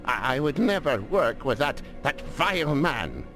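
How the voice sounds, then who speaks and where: A man's voice: medium pitch, very animated, unhurried, a bit bright, faint music behind. A man playing an oily, pompous, cowardly old merchant in a video game. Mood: panicked pleading. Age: older. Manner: oily, leering, pompous, blustering. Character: lecherous, cowardly old male textile merchant.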